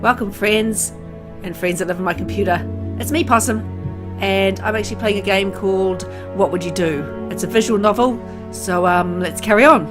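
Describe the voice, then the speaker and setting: A woman presents a visual novel playthrough, talking to her viewers as the host. Her pitch is average for a woman, and loud music plays underneath her voice. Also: normal speed. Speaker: gaming video host. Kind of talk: let's play, reading a visual novel aloud.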